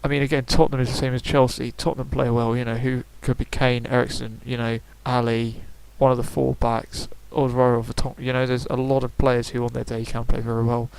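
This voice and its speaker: A young man talks through his picks in a loose, rambling way. He fills gaps with "uh" and "um" and stops to correct himself. His voice sits at a middle pitch. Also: level tone, brisk, gravelly, few pauses, darkish tone, clear, resonant tone, low-quality recording. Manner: chatty, rambling, frequent fillers and self-corrections. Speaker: gaming YouTuber. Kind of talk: casual predictions commentary.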